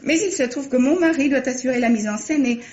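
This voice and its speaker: A woman's voice: sits high, fast talker, a little mellow, natural voice. An actress playing a self-assured, blunt woman novelist in a radio mystery play, with articulate theatrical delivery. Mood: assured, amused. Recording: dull, roomy, noisy old radio recording.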